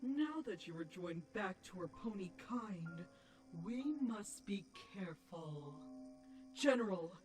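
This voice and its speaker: A villainous pony queen from a cartoon drama. Her voice is theatrical and imperious, set fairly high and very animated, with music playing behind it. She sounds scheming and quick to anger. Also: poor audio. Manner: regal, theatrical, commanding. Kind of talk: animated fan audio drama acting.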